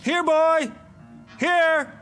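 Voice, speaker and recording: A man's voice: very high-pitched, fairly even intonation, drawn-out words, fairly bright tone, exaggerated voice. A man playing an agitated, headstrong father in a radio drama, urgent and desperate. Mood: urgent calling. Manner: urgent, impulsive. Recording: music bed behind voice.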